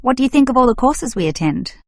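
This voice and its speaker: A woman acting an agreeable, opinionated university student in a scripted exam dialogue, speaking in a measured, clear way. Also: sits high, lively intonation, dry recording, studio quality. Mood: agreeable, reasoning. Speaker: student in a listening test dialogue.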